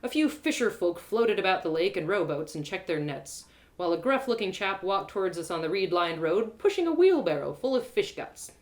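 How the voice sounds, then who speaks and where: A woman reading her own audio drama aloud live, with an expressive delivery, often laughing at her own lines. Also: medium pitch, fairly quick. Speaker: storyteller.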